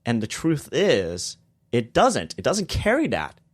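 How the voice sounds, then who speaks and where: A man's voice: mid-range voice, huge pitch swings, unhurried. A man, a guest on a podcast, explaining theology in a casual discussion with emphatic bursts on key points.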